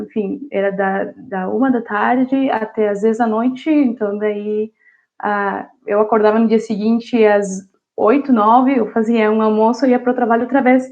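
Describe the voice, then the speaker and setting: A woman from Venezuela, a native Spanish speaker, telling her story in an interview in a reflective way with many fillers and restarts. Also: on the high side. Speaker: interview guest, university student. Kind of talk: casual interview answer. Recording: dull lo-fi audio.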